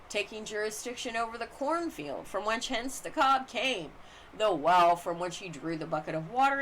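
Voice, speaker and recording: A woman reading a formal text aloud as scripted narration, phrase by phrase with deliberate emphasis. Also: mid-range voice, a bit bright. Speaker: reader of a book on constitutional law. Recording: clearly noisy amateur recording.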